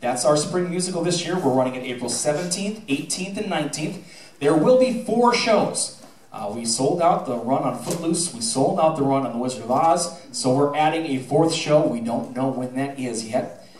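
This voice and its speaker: Man, middle-aged, theatre director, animated storyteller, echoey hall, distant recording. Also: on the high side, moderate pace, a little hiss or hum. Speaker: high school theatre director. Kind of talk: informal speech to an audience, with anecdotes and thank-yous. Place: theatre stage, indoors. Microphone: handheld microphone through PA, filmed from the audience. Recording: roomy, distant audience-camera recording.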